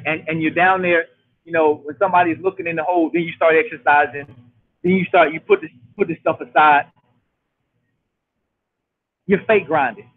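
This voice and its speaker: A man in his late forties delivers a motivational talk, hammering his points home by repeating them. His voice sits high and sounds husky. It comes through a thin, tinny phone line. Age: 40s. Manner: preachy, emphatic and repetitive. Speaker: show host.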